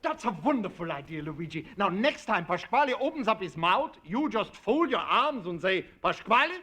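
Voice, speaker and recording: An actor playing a stilted, immigrant friend reciting big words by rote, in a 1940s radio sitcom. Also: male voice, sits high, very animated, normal speed, bright, forceful tone, exaggerated voice. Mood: confident. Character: pompous male immigrant friend reciting jargon.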